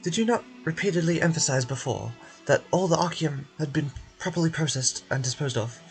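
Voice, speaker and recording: Casting: skeptical young woman reporter for a game male performer, raised pitch, insistent and accusing, music behind. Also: lively intonation, a little fast, creaky, low-quality recording. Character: sharp, distrustful young female journalist in a fantasy game.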